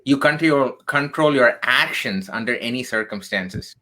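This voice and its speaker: A man from southern India speaks with a bright, forward tone at an ordinary middle pitch. He tells his story earnestly and with emphasis as an interview guest, often checking in with "right?". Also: animated, moderate pace. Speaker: guest, tech career coach.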